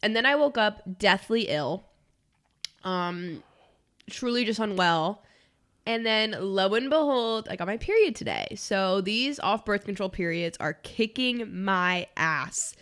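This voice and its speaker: A woman's voice: medium pitch, lively intonation, unhurried, fairly bright tone. A young woman in her twenties with a stuffy, congested nose, chatting casually as a podcast co-host with lots of filler words. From Miami, Florida.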